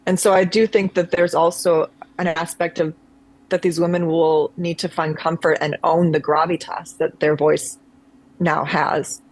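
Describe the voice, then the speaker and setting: A woman's voice: low voice, flat delivery, a little slow, low-quality recording. A woman, a conservatory-trained actress, speaking as a guest on a video call, thoughtful and meandering with frequent restarts. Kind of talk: reflective conversational chat.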